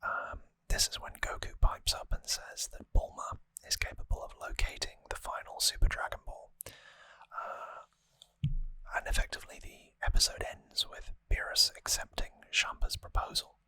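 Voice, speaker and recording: An ASMR host whispering breathily and close to the microphone, explaining things softly and informally with some hesitation. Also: moderate pace, no room sound, low-quality recording. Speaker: host of a trivia ASMR show. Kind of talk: ASMR whispered episode recap.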